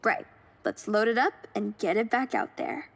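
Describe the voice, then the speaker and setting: A woman's voice: a little high, expressive, moderate pace, vocal fry. A woman playing an upbeat, encouraging car enthusiast in scripted video game cutscenes, speaking in an enthusiastic, conversational way. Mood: friendly, upbeat. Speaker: game character, car-hunting companion. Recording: music under the voice.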